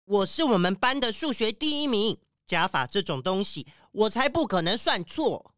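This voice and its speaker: A woman playing a boastful, excitable animal schoolchild in a children's audio drama, emphatic and indignant. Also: medium pitch, normal speed, no room sound, studio quality.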